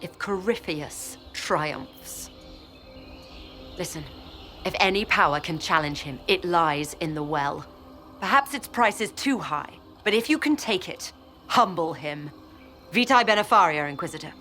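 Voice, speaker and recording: Proud, low-voiced mage commander. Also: female voice, expressive, a little slow, pushed and bright, soft background music. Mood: grave, resolute. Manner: formal, grandiose, theatrical. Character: proud, idealistic young mage lieutenant, coldly resolute. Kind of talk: dramatic video game cutscene acting.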